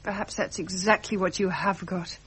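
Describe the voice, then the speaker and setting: An actress with a British accent playing a poised, flirtatious society beauty in a period drama. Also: female voice, mid-range voice, steady tone, moderate pace, fairly bright tone, a little hiss or hum, close to an everyday voice. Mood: coy teasing. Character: poised, teasing society beauty, a prince's lover.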